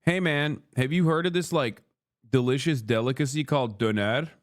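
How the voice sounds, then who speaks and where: A man's voice: medium pitch, normal speed. A man from Turkey in his thirties, streaming live while eating and trading profane banter with his chat. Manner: profane, bantering, reads chat aloud and argues with it.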